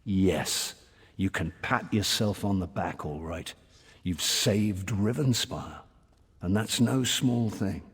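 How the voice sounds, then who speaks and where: An actor playing a weary, wry high king in a fantasy video game, with a measured, regal manner. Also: breathy edge, exaggerated voice. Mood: grave, wry. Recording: echoing hall-like reverb.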